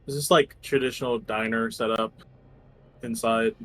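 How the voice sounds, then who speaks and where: A man's voice: mid-range voice, fairly even intonation, normal speed, a bit bright. A man talking casually as a tabletop game player, hesitantly thinking aloud about his moves.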